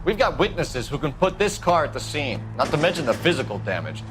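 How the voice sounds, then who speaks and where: Stern American detective, lively pitch. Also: male voice, a little fast, over music. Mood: stern, accusing. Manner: firm, clipped, accusatory. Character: stern, righteous 1940s police detective interrogating people. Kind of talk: video game voice acting.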